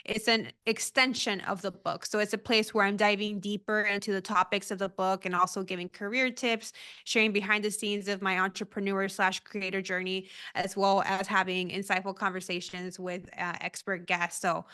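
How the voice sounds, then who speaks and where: Bright, crisp-voiced woman guest. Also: medium pitch, moderate pace. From United States. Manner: bright, animated, uses fillers like "like" and "um". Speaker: author and guest. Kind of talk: casual interview chat.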